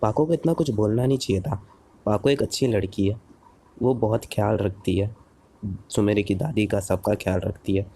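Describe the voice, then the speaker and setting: A man playing an earnest, excitable boy superhero in a cartoon dub, pleading and repeating himself when flustered. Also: lowish voice, moderate pace. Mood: musing.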